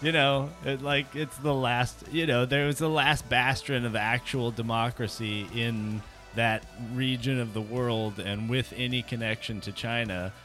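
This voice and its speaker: A man's voice: mid-range voice, fairly even intonation, measured pace, few pauses, pushed and bright, faint music behind. An American man hosting a podcast, rambling through jokey opinions on the news and tossing rhetorical questions at listeners. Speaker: podcast host. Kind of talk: casual comedic news chat.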